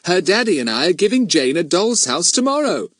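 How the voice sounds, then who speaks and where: A man's voice: high voice, big pitch swings, fairly quick, a bit bright, no echo, studio quality, pitched above the performer's own voice, exaggerated voice. A man voicing a kindly, genteel young mother in a storybook, gracious and chatty. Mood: pleased.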